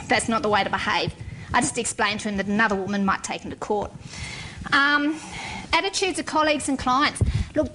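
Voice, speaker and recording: A woman's voice: a little high, fairly bright tone, a little hiss or hum, rough recording. A middle-aged woman, a civil engineer, giving a frank, wry talk to an audience. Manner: frank and wry, with blunt humor.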